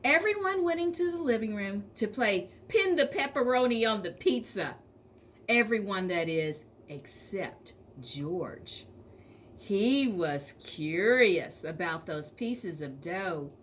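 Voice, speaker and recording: A woman reading a children's storybook aloud like an elementary teacher, speaking expressively to young listeners with drawn-out emphasis. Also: medium pitch, animated, a bit bright, slight background noise.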